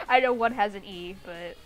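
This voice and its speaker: A woman's voice: medium pitch, measured pace, poor audio. A young woman casually reading and mocking fan fiction, with an irreverent, sweary, giggly manner. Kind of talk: casual commentary on a read-aloud story. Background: background music.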